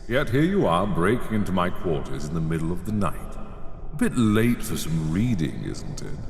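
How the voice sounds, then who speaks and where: A man playing a middle-aged, menacing headmaster of a magic school in a dark fantasy audio roleplay, haughty, mocking and theatrically polite. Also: low voice, very animated, normal speed, vocal fry, a little hiss or hum, full character voice. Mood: mocking, coolly amused. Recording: echoey hall with long reverb.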